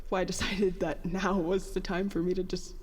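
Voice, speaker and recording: A woman's voice: lowish voice, little pitch movement, close to an everyday voice. Woman acting anxious young officer in echoing space. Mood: subdued, apologetic. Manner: hesitant, halting, stammering. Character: Miles Abbott, young petty officer, anxious, self-doubting, grieving. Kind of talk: audio drama acting. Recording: reverberant hall, faint background noise.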